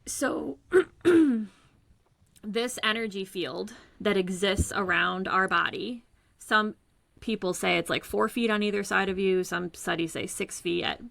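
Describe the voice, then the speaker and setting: A woman's voice: medium pitch, slow pace, vocal fry, a bit bright, no echo. A woman guest explaining her energy healing work over a call, earnest and searching for words. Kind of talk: casual explanatory talk. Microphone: remote call.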